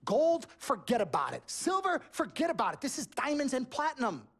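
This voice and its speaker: Loud hustling sports agent, New York accent, husky, bragging salesman patter, game cutscene. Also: very high-pitched, huge pitch swings, brisk, exaggerated voice. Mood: boastful. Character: brash fast-talking male sports agent, boastful. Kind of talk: video game cutscene acting.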